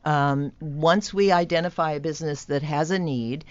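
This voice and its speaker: A woman's voice: deep voice, moderate pace, no echo, highs cut off. A woman on a radio show, earnestly explaining how her employment program helps job seekers and businesses. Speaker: employment program representative, guest. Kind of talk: radio interview.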